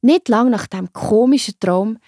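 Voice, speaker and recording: A woman narrating a children's Bible story, gently addressing the young listener. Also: on the high side, moderate pace, dry recording, clean studio sound.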